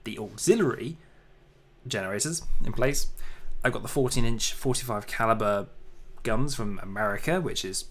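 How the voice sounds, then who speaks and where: A man's voice: medium pitch, moderate pace. A man chatting casually while livestreaming his 3D modeling work, self-deprecating and prone to little exclamations.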